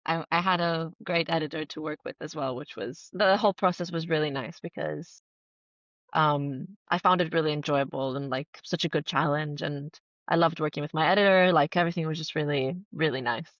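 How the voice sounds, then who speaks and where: A woman from Greece with a lowish voice, chatting as a podcast guest. She is earnest and enthusiastic, leaning on emphatic words in a casual, conversational flow. The sound is close and dry but slightly dull. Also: brisk, dry recording. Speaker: podcast guest, writer and entrepreneur. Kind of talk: casual conversational interview answers.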